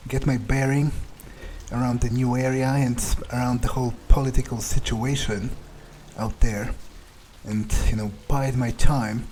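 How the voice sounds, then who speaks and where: A man role-playing a proud, power-hungry, foul-mouthed vampire in a video game playthrough, thinking aloud in a halting way. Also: medium pitch, warm tone, a little hiss or hum, close to an everyday voice, rough recording. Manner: musing aloud, halting, self-satisfied. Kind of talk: in-character game playthrough monologue.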